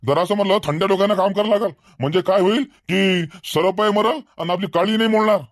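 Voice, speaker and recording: A man's voice: high voice, quick pace, lightly acted. A man voicing a talkative, level-headed villager in a comedy cartoon, earnest and wordy, with a Varhadi Marathi accent. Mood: calming, reasoning. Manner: earnest, wordy, repeats himself.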